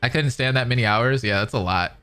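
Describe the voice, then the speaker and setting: Bright-voiced American man streaming casually. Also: medium pitch, fairly quick, smooth, faint music behind. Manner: slangy, laid-back, conversational. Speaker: streamer, reaction commentator. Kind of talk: casual livestream chat with viewers, reading out comments.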